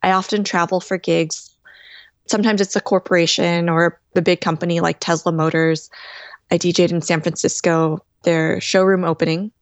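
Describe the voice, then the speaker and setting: A woman in her thirties, a DJ, answering interview questions in a measured, explanatory way. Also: lowish voice, flat delivery, no room sound. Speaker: DJ and guest.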